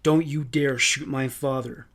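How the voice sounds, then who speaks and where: A man's voice: medium pitch, steady tone, a little slow, a bit bright. A man voicing a defiant, distraught son, firm and emotional, in a narrated true story.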